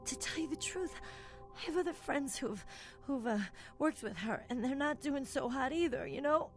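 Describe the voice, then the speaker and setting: High-pitched, ailing young woman, hesitant game acting. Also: normal speed, faint music behind, lightly acted. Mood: ailing, worried. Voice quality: coughing, weak delivery. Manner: hesitant, rambling, confiding. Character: sick, woozy, vulnerable young woman with a wistful streak. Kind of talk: video game dialogue acting.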